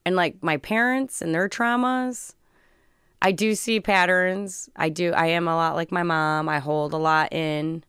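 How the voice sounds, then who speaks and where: A woman in her forties with a low, smooth voice talks frankly and swears freely. Her speech comes across crisp and dry, with no room sound around it. She speaks with a blunt, unguarded candor. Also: fairly even intonation, measured pace, fairly bright tone, dry recording. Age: 40s. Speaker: podcast guest. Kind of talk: candid casual conversation.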